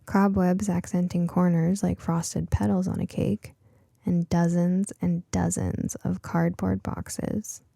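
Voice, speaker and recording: A woman's voice: on the low side, flat delivery, takes it slowly, gravelly, mellow, no room sound, clean studio sound. A woman reading a scripted story as an audiobook narrator.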